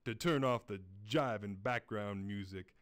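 Male narrator, fairly low voice, scripted instructional delivery, game tutorial. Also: unhurried, clear, resonant tone.

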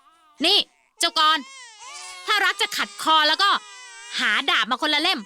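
A hot-tempered young rich man, as a woman reader puts him on, all petulant shouting and sing-song whining. The voice is bright and swoops high, with faint hiss under the storybook reading. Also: expressive, a little slow, heavily acted. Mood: irritated. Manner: loud, high and petulant, sings a children's song.

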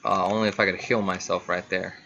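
Low-voiced man commentating on gameplay, noisy recording. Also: level tone, brisk, bright, forceful tone, muffled recording. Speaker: gaming video host. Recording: clearly noisy home recording.

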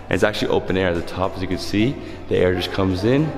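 A man in his thirties vlogging casually with a camera at arm's length, excitable and chatty, outdoors and inside a stone church. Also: low-pitched, fast, gravelly, long reverb tail, over music. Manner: excitable, exclaims often, chatty. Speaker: travel vlogger. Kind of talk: casual travel vlog narration. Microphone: camera held at arm's length.

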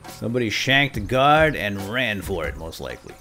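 A man's voice: fairly low pitch, moderate pace, faint music behind. A man hosting a video game let's play, jokey and playful, chatting to viewers and to himself as he plays. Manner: animated, jokey, talks to viewers and himself, exclaims. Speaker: host of a gaming let's play series.

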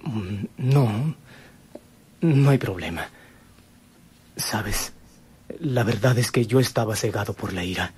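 A man's voice: fairly low pitch, expressive, slow pace, long pauses, a little mellow, husky, exaggerated voice. A man playing an earnest, remorseful young lover, grave and emotional, in a radio melodrama. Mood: remorseful, tender. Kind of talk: melodramatic radio drama acting.

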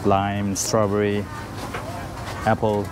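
A man with a Thai accent giving an unscripted food tour commentary, naming things briefly item by item with pauses between them. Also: on the low side, completely flat delivery. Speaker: food market vlogger. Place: outdoor evening food market. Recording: noisy outdoor street market with traffic.

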